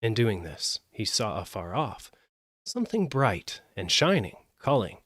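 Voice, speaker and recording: Guy reading a bedtime fairytale, lowish voice, expressive storytelling, really dry recording. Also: unhurried, no room sound. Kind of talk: live audiobook fairytale narration.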